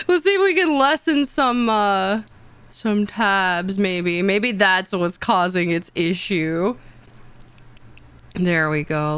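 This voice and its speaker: A woman's voice: mid-range voice, a bit bright, clean tone, no room sound. A young woman streaming casually, rambling and teasing herself, with many fillers and laughs. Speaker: VTuber streamer.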